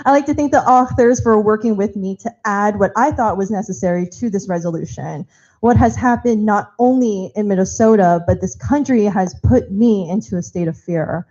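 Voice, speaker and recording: A woman's voice: medium pitch, mellow, muffled recording. A woman on a party committee giving a firm, impassioned prepared speech in a large auditorium. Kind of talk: prepared speech at a public meeting.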